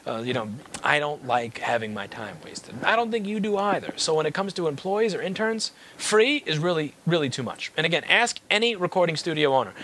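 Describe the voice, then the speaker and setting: A man's voice: fairly high pitch, a bit bright. A man giving a blunt, exasperated, unscripted rant to viewers, stressing points by repeating phrases. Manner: exasperated, occasionally swearing. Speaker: repair business owner making a video.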